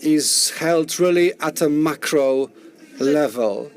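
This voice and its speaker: A man works as a simultaneous interpreter at a conference, with a slightly high voice. He keeps close behind the speaker, restarting and rephrasing phrases as he goes. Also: takes it slowly. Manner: rephrases and restarts while rendering speech.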